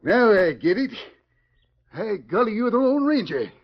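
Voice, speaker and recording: Blustery, gravelly Old West sheriff. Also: male voice, sits high, big pitch swings, measured pace, exaggerated voice. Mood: excited. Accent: American, rural Western. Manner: folksy, exclamatory, sputtering. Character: excitable, blustery Old West sheriff, loyal but torn. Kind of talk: 1940s radio western acting. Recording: muffled 1940s radio recording.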